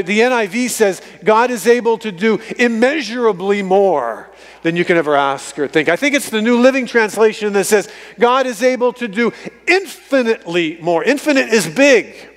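A man preaches to a congregation. His voice sits high for a man, and he leans on repeated phrases with animated emphasis. The sound carries the long reverb of a church hall. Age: fifties, fifty-four. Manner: animated, emphatic repetition for effect. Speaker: guest preacher. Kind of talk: sermon to a congregation. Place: large church sanctuary, on stage before congregation.

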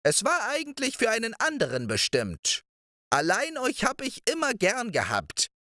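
A man's voice: very high voice, wildly swooping pitch, moderate pace, pushed and bright, dry recording, clean studio sound, pitched above the performer's own voice, full character voice. A man voicing a wheedling old witch of the moor in a storybook reading, coaxing and sing-song. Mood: scheming.

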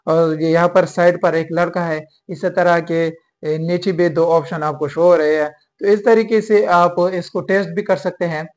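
Young adult male, high voice, for presenting step-by-step phone how-to videos. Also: steady tone, moderate pace, hardly any pauses, a little mellow. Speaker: tech tutorial host. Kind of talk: step-by-step phone tutorial to viewers. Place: small indoor room at home.

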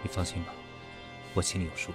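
Man playing low-voiced, guarded proud businessman. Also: flat delivery, fast talker, over loud music, close to an everyday voice. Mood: composed, firm. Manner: cool, restrained, businesslike. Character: guarded, proud businessman facing a former lover. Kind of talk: Chinese audio drama acting.

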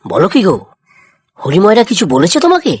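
A woman voicing a calm, responsible farmer father with a husky voice in a children's cartoon. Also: medium pitch, a little fast, no echo, clean studio sound, full character voice. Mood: curious.

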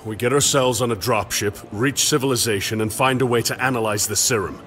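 An actor playing a grim, defiant space marine in a video game, speaking in a terse, serious way. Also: male voice, medium pitch, fairly quick, a bit bright, soft background music, lightly acted. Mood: grim, determined.

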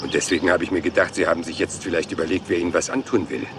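A man's voice: sits low, fairly even intonation, very fast, background noise, natural voice. A man playing a rumpled, polite police inspector who talks hesitantly and deferentially, calling everyone sir, in a dubbed crime series. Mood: apologetic, probing.

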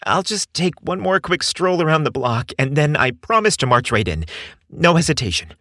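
A man's voice: fairly high pitch, big pitch swings, moderate pace, bright, forceful tone, no echo, clean studio sound, pitched above the performer's own voice. A man voicing a shy, nervous young man talking himself into being brave, in an audio story. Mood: nervous resolve.

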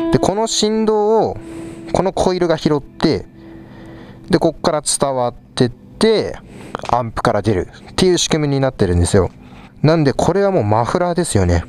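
A young man vlogs from a small rehearsal studio through a clip mic on his head camera. His easy chat swings lively in pitch while he explains the gear. Loud live guitar and drums fill the room around him. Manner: chatty, explaining while playing instruments. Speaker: vlogger. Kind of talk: casual explanatory vlog. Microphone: small clip mic on a head-mounted camera.

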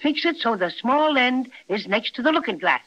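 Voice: medium pitch, expressive, normal speed, natural voice. An actor playing a tiny, cheerful, fussy talking toy bear in a chatty, self-important 1930s radio style. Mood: explaining. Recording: muffled, narrow-band 1930s radio recording.

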